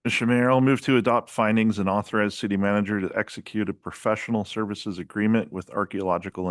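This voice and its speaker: A city councilor, a man, reads out a formal motion at a council meeting. His voice is very low, and the recording is dry and close, without room echo.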